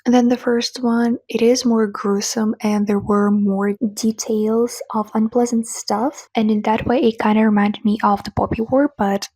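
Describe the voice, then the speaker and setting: A woman's voice: on the high side, flat delivery, a little slow, few pauses, warm tone, husky, no echo. A young woman, for whom English is a third language, chatting casually and gushingly about books in a reading vlog. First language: not English; English is her third language. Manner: chatty, gushing, restarts often. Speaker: book vlogger.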